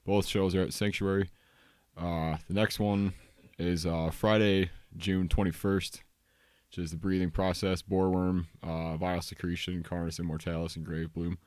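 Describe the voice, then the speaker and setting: A young man co-hosts a podcast in a laid-back, halting way, often restarting his sentences. His voice is very low. The recording is dry and close. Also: level tone, darkish tone, dry recording. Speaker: podcast co-host. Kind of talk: casual podcast chat.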